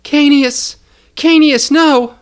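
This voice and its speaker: A man's voice: extremely high pitch, fairly even intonation, takes it slowly, pitched above the performer's own voice. A man voicing a grieving, defiant young girl in an audio story, pleading and indignant. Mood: panicked. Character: desperate, grieving, defiant teenage girl rebel.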